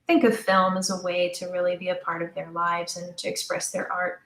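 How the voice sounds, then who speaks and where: A middle-aged woman chatting casually as an interview guest, telling stories in an animated way and acting out bits of quoted dialogue. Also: lowish voice, a little fast. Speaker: interview guest, audio drama creator. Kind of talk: casual conversational storytelling. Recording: roomy, echoing sound.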